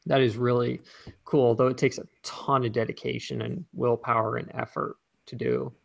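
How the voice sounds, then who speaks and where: Gravelly-voiced man in casual chat. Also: mid-range voice, little pitch movement, normal speed. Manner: rambling, many "like" fillers. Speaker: co-host.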